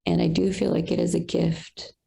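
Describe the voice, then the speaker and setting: A young woman on a video call, sharing reflectively with halting pauses and fillers. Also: on the low side, flat delivery, creaky, warm tone, husky. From Colombian roots. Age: young adult. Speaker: satsang participant.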